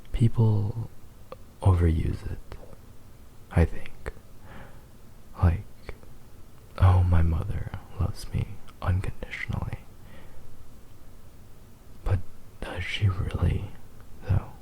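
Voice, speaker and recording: A man playing a possessive, devoted young fox spirit husband in a whispered romantic ASMR roleplay, soft, tender and hesitant. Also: deep voice, fairly even intonation, slow, vocal fry, pauses often, heavily acted, rough recording. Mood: musing, intense.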